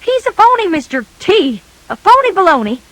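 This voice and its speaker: A woman's voice: very high voice, big pitch swings, normal speed, fairly bright tone, dry recording, pitched above the performer's own voice, heavily acted. A woman voicing a scornful, accusing schoolboy in a children's storybook, taunting and challenging.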